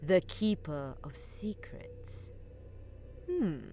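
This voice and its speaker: A woman playing an eerie, seductive sorceress in an audio drama, speaking in a slow, cryptic, portentous way. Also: lowish voice, sing-song, dark tone, whispered, soft background music, full character voice. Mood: sly, whispering. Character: eerie, seductive sorceress dealing fateful cards.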